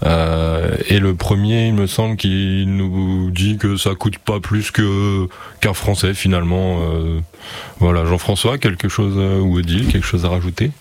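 A man hosting a talk show discussion, calmly summing up and passing the word on. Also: deep voice, measured pace, dry recording. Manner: calm, sums up and hands over. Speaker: host.

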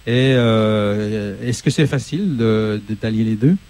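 A man's voice: fairly low pitch, a little slow, a little mellow. Hesitant man interviewing on a studio set. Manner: hesitant, many fillers and restarts. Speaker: local TV show host.

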